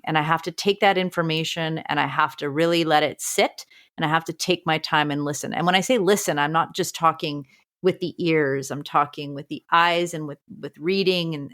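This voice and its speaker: A woman teacher co-hosting a podcast speaks in a low, strong voice. She thinks aloud in an earnest way and often restarts her phrases as she reflects. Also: a bit bright. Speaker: podcast co-host. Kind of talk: reflective casual conversation.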